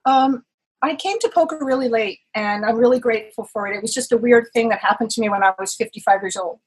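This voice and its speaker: A woman's voice: a little high, quick pace, breathy edge, rough recording. A woman in her sixties from Los Angeles, talking chattily as a guest over a video call. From Los Angeles, California. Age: 60s. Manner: chatty, animated, self-deprecating. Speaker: podcast guest, writer and poker player.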